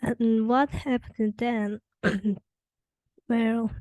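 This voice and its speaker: A woman's voice: a little high, level tone, drawn-out words, dark tone, dry recording, poor audio. A young adult woman reading a children's rhyming story aloud as the narrator. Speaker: storybook reader.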